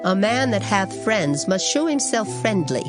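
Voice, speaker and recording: A woman giving an earnest, measured, dramatized reading of scripture. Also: medium pitch, sing-song. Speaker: scripture reader. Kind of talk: dramatized Bible audiobook reading. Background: plucked guitar music.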